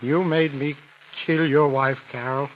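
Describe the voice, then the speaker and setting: An actor playing a haunted, guilt-ridden old man turning vengeful in a vintage radio drama, halting and intense. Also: fairly high pitch, steady tone, lightly acted. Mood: anguished, vengeful. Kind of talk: 1940s-50s radio acting. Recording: dull vintage radio recording, faint noise.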